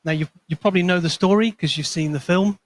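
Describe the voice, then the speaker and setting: A man's voice: sits high, quick pace, dry recording. A man presenting to a conference audience, explaining with a bit of storytelling and light humor. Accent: not Scottish. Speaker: conference speaker, consultancy manager.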